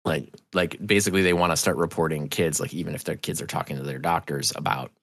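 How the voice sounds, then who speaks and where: A middle-aged man from New England giving a casual interview as a podcast guest, halting and thoughtful, often correcting himself. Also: extremely low pitch, steady tone, creaky, fairly bright tone, dry recording. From New England, United States.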